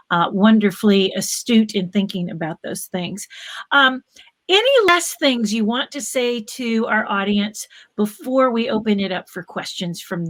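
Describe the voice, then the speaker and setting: A woman moderating a panel discussion, upbeat and encouraging as she draws the guests out. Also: mid-range voice.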